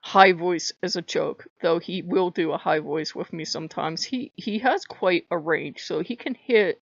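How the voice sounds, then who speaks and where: A woman with a high voice, talking casually to camera in a chatty, rambling way with frequent laughs. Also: unhurried, no room sound, dull audio. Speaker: spiritual vlogger.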